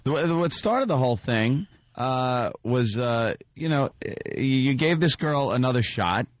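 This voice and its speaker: Informal American radio host, dry dull sound. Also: male voice, medium pitch, animated, measured pace, no echo. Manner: informal, agreeable, joking. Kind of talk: casual talk radio interview.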